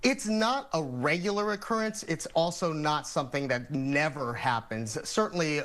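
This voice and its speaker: An American man speaking as an expert guest in a TV news interview, explaining things in a measured, methodical way. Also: fairly high pitch, fairly bright tone.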